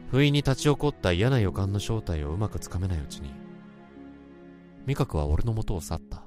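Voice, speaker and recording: A man's voice: sits low, big pitch swings, fairly quick. A man playing a brooding office worker who narrates his story in a somber, introspective radio drama. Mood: melancholy. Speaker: narrator and lead. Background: music.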